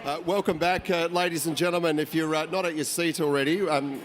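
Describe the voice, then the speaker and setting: A man hosting an awards dinner, introducing a guest and interviewing him on stage, with relaxed speech full of "uh" fillers. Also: fairly high pitch, brisk. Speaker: event host and interviewer. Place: function hall at a dinner event.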